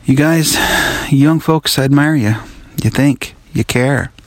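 An older man from northern Minnesota leaving an unhurried, folksy message for a podcast. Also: medium pitch, no room sound. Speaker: listener calling in.

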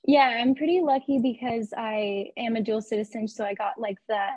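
Guest, woman in her twenties from Arizona; slightly husky voice, dry close sound, friendly and filler-heavy. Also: a little high, moderate pace, darkish tone, dry recording. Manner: friendly, lots of "like" and "um". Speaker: guest, graphic designer. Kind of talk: casual interview.

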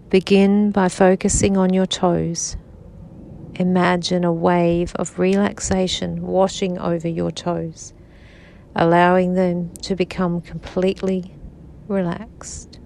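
Slow, low-voiced woman guiding sleep meditation. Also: creaky, a little hiss or hum. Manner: slow, soothing, soft-spoken guidance. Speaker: meditation guide.

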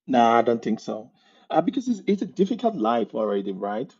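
A man with a Nigerian accent talking as a podcast guest, telling animated stories and laughing mid-sentence. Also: medium pitch, brisk, slightly rough, dull audio. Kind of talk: casual interview conversation.

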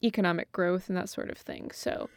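A woman's voice: on the low side, fairly even intonation, brisk, smooth, no room sound, clean studio sound. An American woman co-hosting a thoughtful conversational discussion, somewhat tentative as she feels her way to the right phrasing. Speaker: podcast co-host.

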